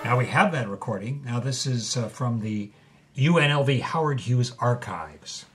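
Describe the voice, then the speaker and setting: A man of medium pitch acting as an earnest show host in an audio drama. He presents and explains in a scripted way, with faint music around him. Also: animated, moderate pace, lightly acted. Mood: measured, informative. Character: earnest male documentary podcast host.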